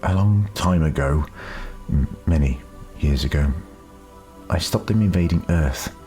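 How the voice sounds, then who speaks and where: A man's voice: a bass voice, measured pace, dark tone, faint music behind. A man voicing a wry, world-weary retired alien time traveller in a reflective audiobook story. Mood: grave.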